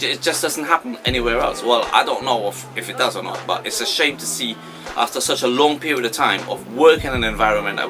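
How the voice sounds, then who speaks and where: A man in his forties from Trinidad, a shop owner giving earnest, rueful interview answers full of fillers. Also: mid-range voice. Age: 40s.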